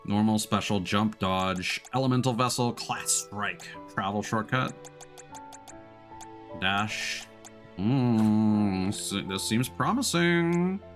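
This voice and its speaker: A man's voice: on the low side, lively intonation, takes it slowly. A man giving casual gaming commentary, thinking aloud and sometimes reading on-screen text. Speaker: streamer. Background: game music.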